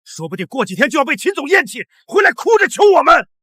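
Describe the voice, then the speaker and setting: A man's voice: extremely high pitch, sing-song, fast, sharp tone, no echo, raised voice, clean studio sound, heavily acted. An actor playing a blustering, contemptuous middle-aged businessman father in a melodramatic short drama. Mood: furious. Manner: indignant, overbearing.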